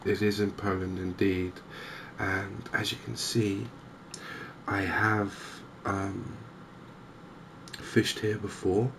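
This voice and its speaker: A man giving softly spoken, gentle ASMR game commentary in an unhurried, hesitant way. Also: fairly low pitch, flat delivery, vocal fry, background noise. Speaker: host of a cozy gaming channel.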